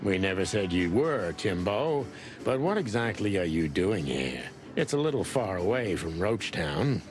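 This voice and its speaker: A tough rooster detective in a noir game. The voice sits low, weary and sardonic, with dry hard-boiled delivery. Music plays behind it. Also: male voice, big pitch swings, unhurried. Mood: wry. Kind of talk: noir video game acting.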